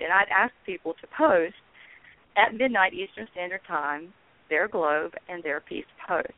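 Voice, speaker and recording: A woman guest telling her story in a phone-in interview, earnest and halting, with frequent ums. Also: on the low side, dry recording. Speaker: guest, founder of a peace blogging network. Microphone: telephone. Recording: tinny phone line, dry.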